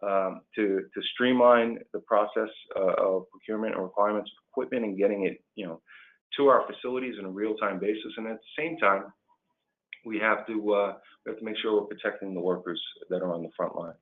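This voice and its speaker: Low-voiced man on a phone-like line. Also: steady tone, brisk. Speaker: labor union leader as webinar guest. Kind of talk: prepared remarks and answers on a policy webinar.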